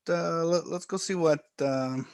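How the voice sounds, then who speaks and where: A man calmly walking viewers step by step through charts and trading software on a live stream. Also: a little high, moderate pace, vocal fry, dry recording, studio quality. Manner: calm, explanatory, step-by-step. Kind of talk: live screen-share trading walkthrough.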